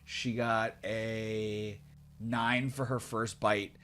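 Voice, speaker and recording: A man narrating a tabletop fantasy game as game master in casual, slangy, animated storytelling. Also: lowish voice, very slow, slight background noise.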